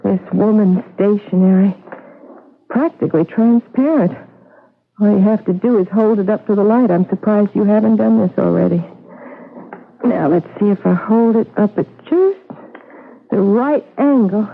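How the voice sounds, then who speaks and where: A woman's voice: mid-range voice, normal speed, creaky, close to an everyday voice. A woman playing an eager, nosy, coaxing wife in a 1970s radio mystery, insistent and sure of her intuition. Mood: coaxing, curious. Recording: muffled vintage radio broadcast, faint noise.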